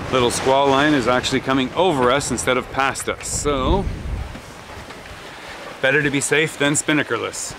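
A man's voice: medium pitch, normal speed. A middle-aged man, a boat captain, explaining things casually on the deck of a sailboat at sea, filmed on a handheld camera. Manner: relaxed, explanatory, upbeat. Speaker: sailing vlogger, boat captain. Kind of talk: casual on-the-go narration. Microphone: handheld camera at arm's length. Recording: loud wind and water noise, music behind.

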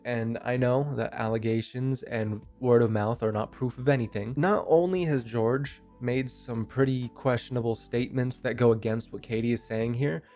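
A man's voice: mid-range voice, a little mellow. A young man in his twenties with a nasal voice from a cold, giving blunt, casual commentary into a handheld mic in a small bedroom. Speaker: commentary YouTuber. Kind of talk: drama commentary. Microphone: handheld microphone.

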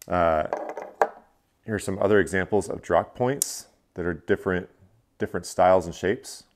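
A man's voice: low voice, moderate pace. A man in his thirties casually reviewing gear in a living room, explaining step by step with frequent fillers. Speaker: fishing channel host. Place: living room, indoors, seated on a couch.

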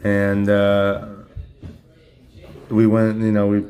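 A hoarse man, his voice giving out from illness, talks at a table at home in a flat, monotone way. He stops and restarts often, filling gaps with "uh" and "you know", in a casual home unboxing chat. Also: lowish voice. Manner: halting, many fillers and restarts, monotone. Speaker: card-opening channel host.

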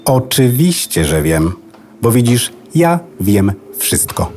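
A man's voice: medium pitch, sing-song, slow, a little mellow, a little hiss or hum. A man playing a proud, chatty know-it-all computer hard drive in a children's radio play. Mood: proud, welcoming. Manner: pedantic, boastful, goes off on tangents.

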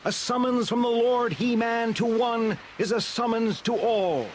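A man voicing a bold, loyal male winged warrior who speaks in loud heroic cries, in a storybook reading. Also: very high voice, unhurried, pitched above the performer's own voice. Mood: noble. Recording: loud hiss of background noise.

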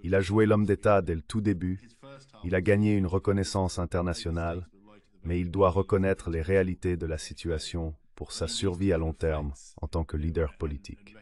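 A man's voice: low-pitched, little pitch movement, normal speed, darkish tone. A man's synthetic voice-over reading an interview guest's translated answers in a scripted way.

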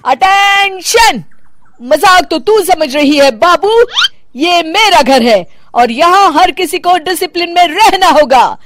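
A woman voicing a stern, elderly retired policewoman mother-in-law in a cartoon, commanding and given to drawn-out drill orders. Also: very high voice, expressive, a bit bright, pitched above the performer's own voice, exaggerated voice.

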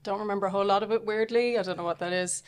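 A woman's voice: medium pitch, steady tone, rapid-fire, clear, resonant tone. A woman in her forties with a Dublin Irish accent, chatting thoughtfully as a podcast guest with fillers and restarts, on a close studio mic. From Dublin, Ireland. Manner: thoughtful, self-deprecating, frequent fillers and restarts. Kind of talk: casual interview chat. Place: small podcast studio, indoors. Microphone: studio mic on a stand close up.

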